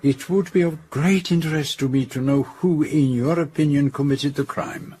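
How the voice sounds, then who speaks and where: An actor playing a shrewd, courteous middle-aged detective with a French-speaking Belgian accent, speaking formally and precisely in a radio drama. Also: male voice, on the high side, moderate pace, mellow, slight background noise, lightly acted. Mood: probing, courteous.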